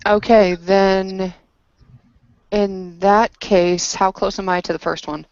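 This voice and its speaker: A woman's voice: mid-range voice, steady tone, slow pace, a little mellow, smooth, no room sound. Dry, slightly muffled woman playing a tabletop game. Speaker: player in a tabletop roleplaying game. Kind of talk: casual game chat. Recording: dry, dull lo-fi voice chat.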